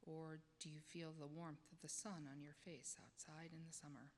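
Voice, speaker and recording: A woman's voice: sits low, level tone, normal speed, darkish tone. A woman leading a church congregation through a guided meditation with simple, spaced-out questions. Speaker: lay leader of guided meditation. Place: church sanctuary, speaking to congregation. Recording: echoey church hall, noticeable background noise.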